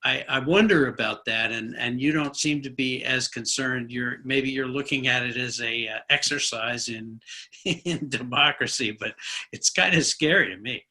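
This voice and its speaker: A man's voice: mid-range voice, moderate pace, bright, forceful tone. A man hosting a conversational political interview, musing informally and in a rambling way. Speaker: interviewer, host.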